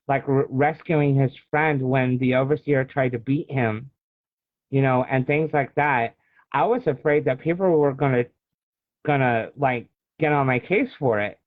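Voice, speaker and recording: American man, mid-pitched voice, casual storytelling interviewee, phone-like narrow audio, dry. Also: moderate pace, no room sound. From United States. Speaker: guest author. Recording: thin phone-like call audio.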